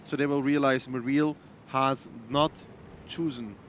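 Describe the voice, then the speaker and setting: A man calling a live esports match, with quick teasing banter and brisk play calls. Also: medium pitch, fairly even intonation, fairly bright tone, a little hiss or hum.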